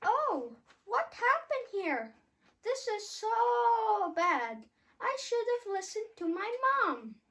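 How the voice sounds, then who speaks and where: A carefree, candy-loving little boy puppet, acted out by a child, very high and slow. He exclaims and stretches his words in a swooping children's puppet show delivery. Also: sing-song, bright, forceful tone, heavily acted. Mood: regretful. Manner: exclaiming, drawn-out delight.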